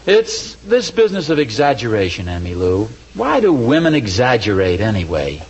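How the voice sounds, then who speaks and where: Puzzled suburban American husband, 1940s radio comedy, lively intonation, folksy, hesitant, muffled noisy old recording. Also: male voice, medium pitch, moderate pace. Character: befuddled, mild-mannered suburban husband with a problem.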